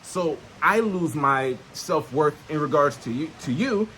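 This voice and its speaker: A man with a slightly high voice speaks off the cuff in a casual self-help vlog. He uses streetwise slang, swears often and keeps checking that the listener follows. There is faint outdoor noise around him. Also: animated, unhurried, sharp tone, low-quality recording. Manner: punctuates points with checking questions to the listener. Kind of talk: casual unscripted monologue, teaching to camera. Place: outdoors in nature.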